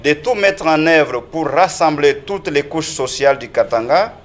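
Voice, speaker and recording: A man formally reading a list of recommendations in a measured, declamatory way. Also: fairly high pitch, expressive. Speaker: civil society spokesman.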